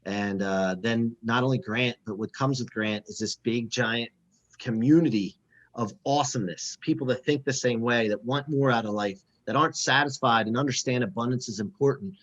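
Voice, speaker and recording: A man hosting a podcast, talking in an energetic, hype-style way as he promotes and interviews. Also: medium pitch, moderate pace, muffled recording. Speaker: podcast host.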